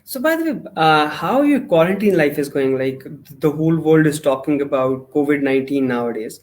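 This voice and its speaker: A man hosting a podcast interview. His voice sits somewhat high for a man. He asks long questions in a halting way, restarting as he goes. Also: animated. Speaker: podcast host.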